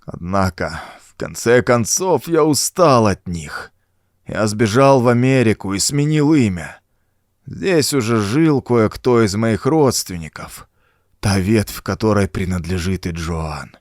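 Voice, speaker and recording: Man acting a groaning, dying old renegade, low-voiced. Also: expressive, measured pace, dry recording, studio quality. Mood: grim, confessing. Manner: groaning, laboured, dramatic delivery. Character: dying old male renegade cultist confessing in dread and agony. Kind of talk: acted audiobook dialogue.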